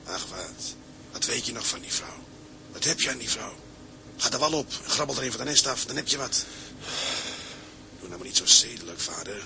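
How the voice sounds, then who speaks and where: An actor playing a cynical, sly inner voice that taunts and needles in a radio drama. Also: male voice, mid-range voice, animated, moderate pace, vocal fry, background noise. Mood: mocking, cynical. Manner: mocking, needling.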